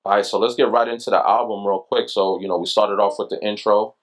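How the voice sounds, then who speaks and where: A man with a Brooklyn accent hosting a casual podcast chat, talking fast and animated with lots of slang. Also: on the low side. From Brooklyn, New York. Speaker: podcast host.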